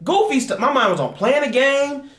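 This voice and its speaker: A man's voice: extremely high pitch, animated, moderate pace, a bit bright, reverberant. A man, talking casually and passionately as a guest, often asking "you know what I'm saying". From Dayton, Ohio, USA. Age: 20s. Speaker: guest, host's husband. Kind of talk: casual chat.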